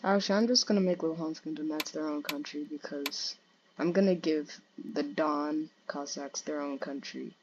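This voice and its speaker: A young teen boy with a high, childlike voice, casually commenting on a strategy game in a cheeky, offhand way. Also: steady tone, moderate pace, muffled recording, background noise. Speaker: gaming channel host.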